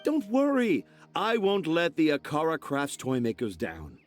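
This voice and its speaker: Game storyteller, young male: grandiose, flowery, self-assured, higher-pitched with big swooping inflection. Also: huge pitch swings, measured pace, faint music behind. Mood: confident. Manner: theatrical, flowery, pompous. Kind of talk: video game cutscene acting.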